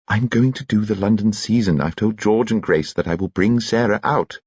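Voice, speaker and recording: A man's voice: on the low side, darkish tone, slightly rough, no room sound, dull audio, voice pushed up. A man voicing a spirited, teasing elderly society mother who talks emphatically. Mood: playful. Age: older. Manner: brisk, emphatic, playful.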